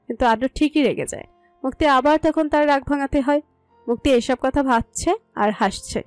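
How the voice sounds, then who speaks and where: A woman's voice: sits high, moderate pace, no echo. A woman narrating a scripted romantic story. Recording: music under the voice. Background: music.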